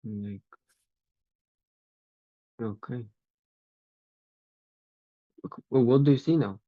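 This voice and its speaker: A man hosting a casual podcast chat over a video call as a language teacher, unhurried and laughing often. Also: mid-range voice, dry recording, narrow, tinny audio. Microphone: video call microphone.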